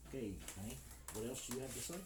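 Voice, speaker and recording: A man's voice: medium pitch, fairly even intonation, racing, background noise. Easygoing American man joking on a vlog. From United States. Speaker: vlogger. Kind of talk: casual vlog talk to camera. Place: home in the Philippines.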